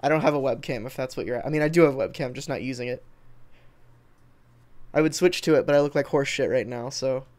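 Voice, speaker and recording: A man's voice: mid-range voice, fast, long pauses, darkish tone. American man, mid-range voice, informal livestream chat, rambling, sweary, answering comments. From Texas, United States. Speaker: livestreamer and video editor.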